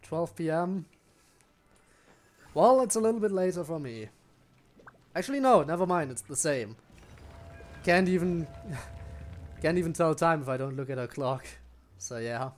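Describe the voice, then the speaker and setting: A man's voice: a little high, animated, unhurried, long pauses. A man giving casual live gameplay commentary, often muttering to himself as he plays. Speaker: game streamer.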